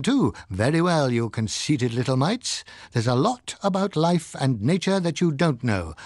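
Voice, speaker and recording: A learned male scientist voiced by a man narrating a novel, pompous and deliberate. His pitch rises and falls in lively sweeps as he lectures with dry irony. The sound is close and dry, as in an audiobook. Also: creaky, no echo, full character voice. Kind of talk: dramatised audiobook reading.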